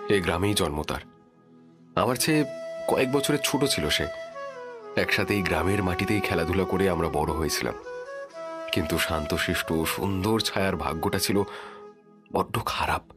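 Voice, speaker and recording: Low-pitched, sombre young village man an actor's role. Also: moderate pace, pauses often, music in the background, natural voice. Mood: sombre recollection. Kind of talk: cartoon horror story dialogue and recollection.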